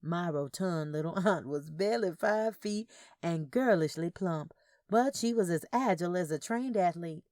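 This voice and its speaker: A woman narrating a scripted first-person story in a measured storytelling manner. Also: on the low side, a little mellow, no room sound, clean studio sound. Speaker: audio fiction narrator.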